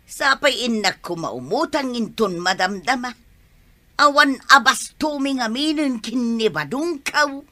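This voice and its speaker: Actress doing exasperated ilocano village lady in a comedy drama, sharp bright voice, kinda high. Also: expressive, measured pace. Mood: insistent.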